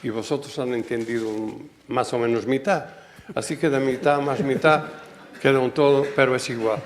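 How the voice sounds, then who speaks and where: An older man from Portugal, a Portuguese native speaker with a Portuguese accent, giving a public talk as a guest architect, halting and self-correcting, with wry humor. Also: mid-range voice, steady tone. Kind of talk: public talk, reading a prepared text. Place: lecture hall.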